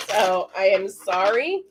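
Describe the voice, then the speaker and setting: This is a woman giving a live psychic card reading on a stream. Her voice is raspy, and she speaks in a loose, chatty way, often stopping to answer viewers in the chat. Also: mid-range voice, background noise. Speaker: psychic reader.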